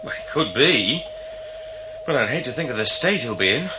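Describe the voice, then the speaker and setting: A male actor as a practical starship crewman who doubts everything and is easily exasperated. His delivery swings in pitch through old sci-fi radio drama dialogue, on a dull, noisy recording. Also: very animated, moderate pace, close to an everyday voice. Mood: alarmed. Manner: dismissive, matter-of-fact.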